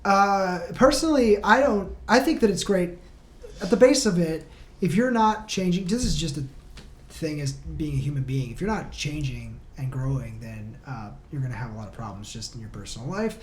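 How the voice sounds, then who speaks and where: A woman's voice: sits low, lively intonation, normal speed. A woman talking casually and enthusiastically as a guest in a podcast interview. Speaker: band member guest. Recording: roomy, echoey room with noise.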